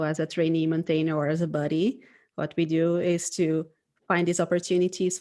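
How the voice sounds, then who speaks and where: A woman's voice: low voice, a little mellow. A woman, acting as a design manager, talking thoughtfully in a casual conversation, often ending points with a questioning tag. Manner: thoughtful, frequent "right?" tags.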